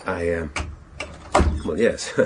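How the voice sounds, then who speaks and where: A deep-voiced middle-aged detective in a radio play. He is melancholy and awkwardly polite, halting as he feels his way along, with slight noise on the recording. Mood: awkward, hesitant. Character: bumbling, melancholy middle-aged male police chief inspector. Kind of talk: radio drama acting.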